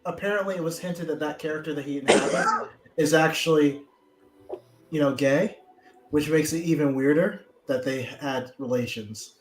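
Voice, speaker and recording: Echoey, slightly high-voiced man chatting. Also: normal speed. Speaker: quiz contestant. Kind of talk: casual group banter. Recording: roomy echo, music behind. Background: music.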